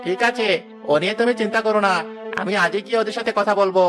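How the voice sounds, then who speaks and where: A chatty village matchmaker, voiced by a man with a fairly high voice, brisk and reassuring. Clear background music plays. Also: steady tone, lightly acted.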